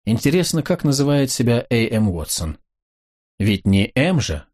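A man reading the quiet, dry lines of a weary middle-aged male novelist. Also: mid-range voice, very animated, normal speed.

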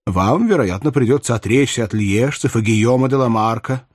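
Wise, scrupulous court adviser, read by a male narrator: mid pitch, measured, formal, deferential yet frank, historical audiobook. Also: animated, slightly rough, dry recording, studio quality. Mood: formal, composed. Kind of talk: dramatized audiobook reading of a historical novel.

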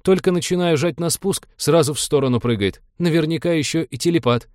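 Man doing a whiny, high-voiced nervous young navigator. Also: animated, fairly quick, dry recording, clean studio sound, lightly acted. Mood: hurt, whining. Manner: plaintive, whining, self-justifying. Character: whiny, fearful, defensive young male navigator.

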